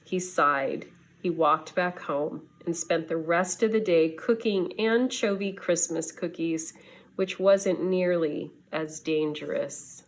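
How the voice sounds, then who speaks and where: Female storybook reader for young kids, lower register, very measured pace, expressive delivery. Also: a little hiss or hum. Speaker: schoolteacher reading to students. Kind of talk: storybook read-aloud for children.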